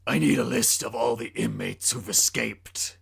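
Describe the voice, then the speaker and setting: A man's voice: medium pitch, moderate pace, vocal fry, husky, exaggerated voice. A man voicing a grim, commanding caped crime-fighter in a comic-book story, terse and growling. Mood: stern.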